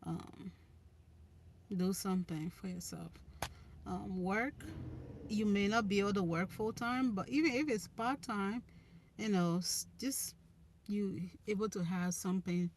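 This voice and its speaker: A woman's voice: mid-range voice, a little slow, long pauses, slight background noise. A young Liberian woman with a Liberian accent, hosting a casual live chat about relationships and giving earnest advice, restarting her phrases often. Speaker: relationship channel host. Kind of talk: casual live-stream chat answering viewers.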